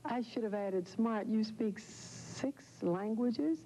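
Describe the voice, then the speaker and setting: Effusive woman talk show host, mellow voice. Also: fairly high pitch. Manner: warm and effusive, quick to chime in. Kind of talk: interview chat. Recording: dull lo-fi 1990 TV audio, faint hum.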